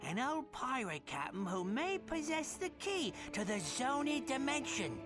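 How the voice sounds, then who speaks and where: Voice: sits high, very animated, measured pace, over music. An actor playing a theatrical storyteller narrating a hero's tale in a video game. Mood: dramatic.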